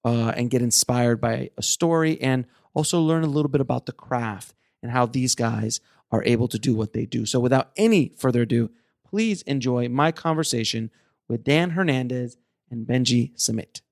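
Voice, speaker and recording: A man's voice: mid-range voice, normal speed, creaky. Chatty man hosting a podcast. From South Florida. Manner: chatty, laughs often, restarts sentences. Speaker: podcast host. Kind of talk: casual interview chat.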